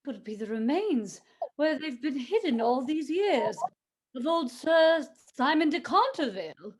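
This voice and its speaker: Housekeeper for radio drama, woman acting: high, very animated intonation, deferential and soothing. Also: measured pace. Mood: wondering. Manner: deferential, theatrical.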